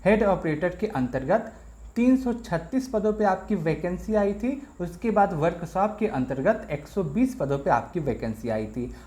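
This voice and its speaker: Lively man explaining exam results step by step. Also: high-pitched. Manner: repetitive step-by-step explaining with worked examples; addresses viewers directly as friends. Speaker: exam-prep video host. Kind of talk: explainer video talk.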